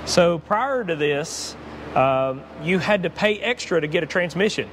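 A man, walking through a car warehouse and explaining cars in a relaxed way with lots of fillers. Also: on the high side, unhurried. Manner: relaxed, knowledgeable, many fillers. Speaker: car salesman. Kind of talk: walkthrough explanation. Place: large indoor car warehouse. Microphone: clip-on lapel mic. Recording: faint background noise.

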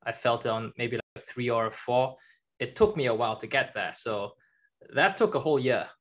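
A young man, born in China and raised in Germany, speaks over a headset on a video call from his home. His voice sits at an ordinary pitch, and his answers come out plain and measured, delivered without fuss. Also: a bit bright. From born in China, grew up in Germany. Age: young adult. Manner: plain, measured, matter-of-fact. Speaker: guest, journalist and author. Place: home room with a kitchen behind.

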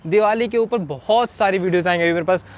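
A man's voice: high voice, quick pace. A man, chatting casually to his viewers as a vlogger. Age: 20s. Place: outdoors, selfie intro and open-air street market. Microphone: handheld camera or phone at arm's length.

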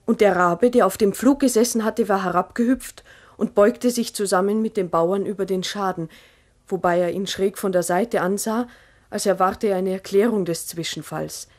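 Woman acting a farmer's wife in an old 60s radio play, mid pitch, slow earnest storytelling, full of wonder. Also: close to an everyday voice. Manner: deliberate, literary, earnest storytelling. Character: farmer's wife, mother, wonderingly recounting strange happenings. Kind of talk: 1960s German radio play monologue, measured narration.

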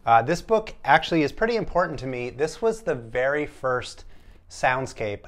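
A middle-aged man doing an animated, theatrical read-aloud of a children's story. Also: mid-range voice, normal speed. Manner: expressive, theatrical. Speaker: storyteller, app sound designer. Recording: music behind the voice. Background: music and sound effects from a story app.

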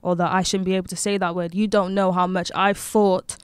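A woman's voice: lowish voice, level tone, fairly quick, clean tone, dry recording. A young woman, talking frankly and animatedly as a rapper guest in a studio lounge, into a handheld mic. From Wales. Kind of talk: casual conversation. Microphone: handheld microphone.

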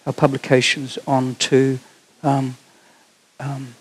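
A man's voice: medium pitch, monotone, takes it slowly, breathy edge, low-quality recording. A middle-aged man with a New Zealand accent, answering questions on a theatre stage after a keynote, thoughtful and often restarting. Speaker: keynote speaker. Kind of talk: conference Q&A answers.

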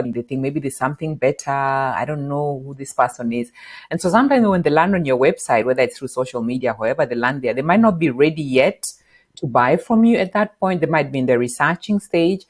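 A middle-aged woman from Kenya, a podcast guest, explaining marketing in an animated interview on a lapel mic in a home office. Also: very deep voice, husky. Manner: animated, gestures while explaining. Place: bright home office with bookshelf.

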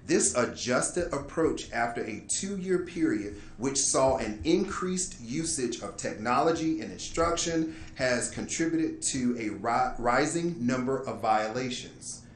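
A man's voice: mid-range voice, expressive, a little slow, hardly any pauses. Formal male presenter in an echoey room. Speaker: school district administrator presenting a report. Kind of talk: formal presentation read from prepared text. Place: indoor meeting room, public board meeting. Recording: roomy echo, light background noise.

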